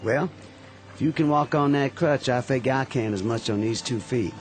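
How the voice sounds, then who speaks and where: A man's voice: medium pitch, normal speed, natural voice. A man playing a polite, humble young Confederate soldier with a Southern accent, wounded and heading home on foot, in a radio drama. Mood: earnest, humble. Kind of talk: 1960s-style radio drama acting.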